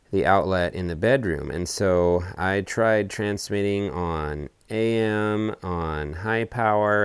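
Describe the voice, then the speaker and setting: A man presenting a casual explanatory hobby video update outdoors, talking in deliberate, measured phrases. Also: on the low side, clear, resonant tone, no echo. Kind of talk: casual explanatory vlog talk. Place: outdoors on a house deck.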